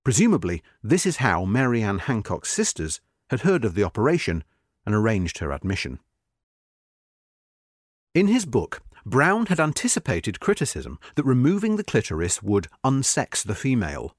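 Expressive male narrator, dry studio sound. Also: medium pitch, no echo. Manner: measured, expressive, slows at sentence ends. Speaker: narrator reading a magazine article. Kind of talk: scripted narration.

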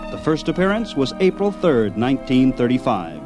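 A man's voice: mid-range voice, very animated, normal speed. Host guy, scripted narration, mid voice, lots of inflection, faint music. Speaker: program host.

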